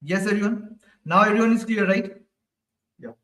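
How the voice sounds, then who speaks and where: A high-pitched man runs a live online coding class. He keeps asking the class questions and tags "right?" onto his sentences. His voice sits a little away from the mic and rings in an echoey room. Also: normal speed, a bit bright, far from the mic. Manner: repeats questions to the class, ends lines with "right?". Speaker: programming instructor.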